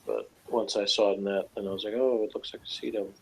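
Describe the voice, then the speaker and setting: Male co-commentator, fairly low voice, casual and rambling, slight background noise on the recording. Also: steady tone, quick pace, vocal fry, rough recording. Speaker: review show co-commentator. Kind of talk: casual TV review discussion.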